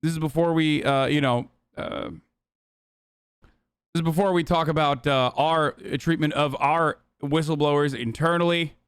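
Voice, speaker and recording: Blunt, profane streamer man, smooth voice. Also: fairly high pitch, fairly even intonation, no room sound. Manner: blunt, profane, rapid with many fillers. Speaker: political commentator streamer. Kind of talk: livestream commentary reacting to clips.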